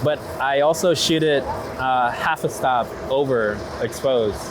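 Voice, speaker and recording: A man's voice: mid-range voice, unhurried. A man talking casually and enthusiastically in an unscripted interview, informal and slangy, peppering his sentences with "like". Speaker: interviewed street photographer. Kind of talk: casual chat, unscripted interview while out walking. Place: outdoors on city streets. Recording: loud urban street ambience, traffic noise. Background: city traffic and street ambience.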